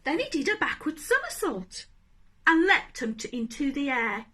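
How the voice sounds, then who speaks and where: Female children's storyteller, high voice, very expressive swings, addressing young listeners directly. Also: moderate pace. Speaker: storybook reader.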